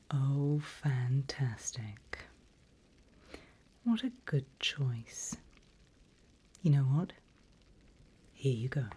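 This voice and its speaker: A woman playing a seasoned demon mentor in a whispered ASMR roleplay, breathy and deliberate, playfully sinister and teasing. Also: low voice, warm tone, exaggerated voice. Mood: coaxing, veiled threat.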